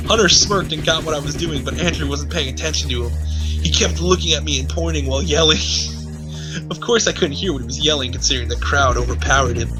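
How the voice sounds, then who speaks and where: A man's voice: a little high, rough recording. A man streaming a read-aloud of amateur fiction in an amused, mockingly dramatic style with sarcastic asides. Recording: music playing behind the voice. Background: music.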